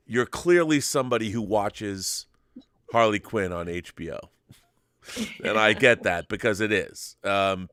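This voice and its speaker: A man's voice: mid-range voice, fairly bright tone, clear, resonant tone, no echo, clean studio sound. A man hosting a fan show, chatting casually and giving opinions in an emphatic, rambling, sweary way. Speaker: host of a film fan live show. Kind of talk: casual chat and opinionated commentary.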